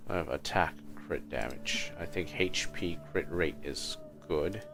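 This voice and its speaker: A man's voice: very deep voice, a little slow, music in the background. A man giving casual livestream commentary as he plays a video game, half muttering to himself.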